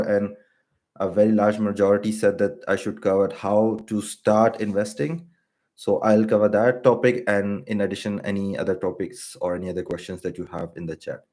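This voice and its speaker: Thirties man from Pakistan, finance YouTuber; low voice, hesitant, filler-heavy but steady explanations. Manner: hesitant, many ums and uhs, steady and explanatory. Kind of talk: live-stream explainer with viewer Q&A.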